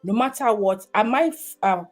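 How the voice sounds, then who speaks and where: A woman's voice: mid-range voice, expressive, moderate pace. A woman in her thirties from Nigeria with a Nigerian accent, chatting casually on a livestream and rambling with many fillers and restarts. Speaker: livestream host.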